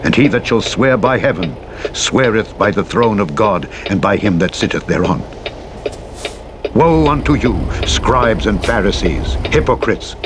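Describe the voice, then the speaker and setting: A man's voice: expressive, measured pace. A man reading the Bible as a dramatic audiobook narrator, in a solemn, declamatory and emphatic manner. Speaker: scripture narrator. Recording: very loud vehicle engine noise behind. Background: truck driving game engine rumble.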